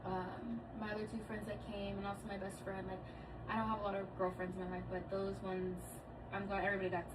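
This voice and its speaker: A woman's voice: medium pitch, fast talker. A woman of thirty chatting casually and thoughtfully, with plenty of fillers. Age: 30s. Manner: relaxed, thoughtful, speaks with many fillers. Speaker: guest, daughter. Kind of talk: casual family chat. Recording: distant mic with clear background noise.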